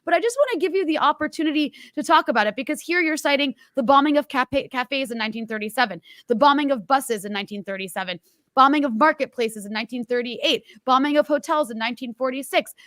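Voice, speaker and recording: A woman's voice: high voice, a bit bright, no echo. A woman in her thirties hosting an interview, talking in an emphatic, impassioned way. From United States. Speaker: host.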